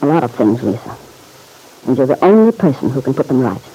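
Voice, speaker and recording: A man's voice: medium pitch, big pitch swings, brisk, dark tone, slightly rough, poor audio. An actor playing a stern, insistent man in an old-time radio serial, blunt, slangy and accusing, pressing for the truth.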